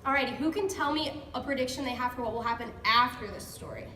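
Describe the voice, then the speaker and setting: A woman's voice: fairly high pitch, fast, sharp tone. A woman teacher leading an interactive picture-book read-aloud for children, encouraging and prompting with questions. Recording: echoey room, distant mic, background noise.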